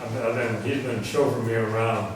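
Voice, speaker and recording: A soft-spoken man giving a rambling religious talk to an audience, often clearing his throat. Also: medium pitch, fairly even intonation, fairly quick, slight background noise. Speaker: religious speaker. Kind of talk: informal lecture to an audience. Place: meeting hall before a live audience. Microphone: microphone through a speaker system. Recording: echoey hall, heard from far off.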